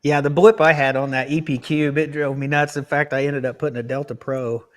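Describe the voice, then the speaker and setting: A man's voice: a little high, flat delivery, fairly quick. A man chatting on a livestream, frank and explanatory, often restarting his sentences. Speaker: guest on a livestream. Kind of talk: casual livestream chat.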